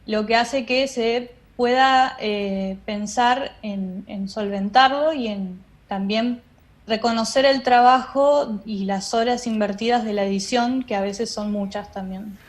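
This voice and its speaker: A woman's voice: fairly high pitch, measured pace. A woman explaining her work in an interview, phrasing it a little hesitantly as she goes. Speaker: interviewed radio drama director.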